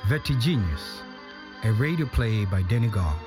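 Lower-pitched male radio narrator over music. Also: animated, normal speed. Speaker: radio play narrator and announcer. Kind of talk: scripted radio narration, credits reading.

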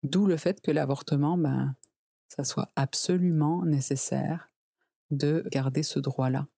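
A woman in her forties with a low, mellow voice, speaking as an interview guest. She explains in a conversational, emphatic way and slips into mimicked quotes to make her points. The sound is close and dry. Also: fairly even intonation, measured pace, creaky, slightly rough, no room sound. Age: 40s. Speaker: interview guest, reproductive health specialist.

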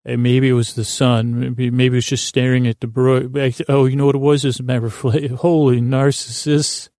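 A man hosting a podcast in a halting, silly, absent-minded monologue, full of digressions, pauses and repeated words. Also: mid-range voice, flat delivery, dark tone, dry recording, studio quality. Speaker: podcast host. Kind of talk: rambling whimsical monologue meant to distract listeners.

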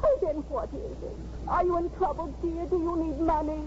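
A woman's voice: very high-pitched, fairly even intonation, moderate pace, darkish tone. An actress playing an anxious, pleading middle-aged mother in a 1950s radio drama. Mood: tearful pleading. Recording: noisy, dull old radio broadcast.

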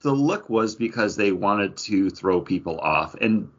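Male podcast co-host, deep and rough-edged, opinionated casual chat, slightly dull lo-fi recording. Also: expressive, a little slow. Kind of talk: casual chat about comic book movies.

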